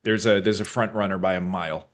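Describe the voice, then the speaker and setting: Male interview host with a fairly low voice; friendly, chatty, quick to laugh. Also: steady tone. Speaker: podcast host. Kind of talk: casual interview chat.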